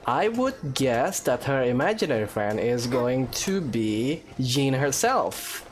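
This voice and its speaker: Slow-talking, very low-voiced woman gamer. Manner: relaxed, chatty explaining with giggles. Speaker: gameplay commentator. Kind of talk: casual game commentary. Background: game music.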